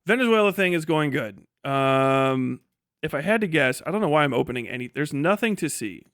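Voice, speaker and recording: Tired guy streamer, kinda high voice, rambly and sweary casual chat, dry sound no room echo. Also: expressive, a little fast, fairly bright tone. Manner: rambling, blunt, heavily profane. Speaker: livestream host and video creator. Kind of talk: casual livestream chat and ranting.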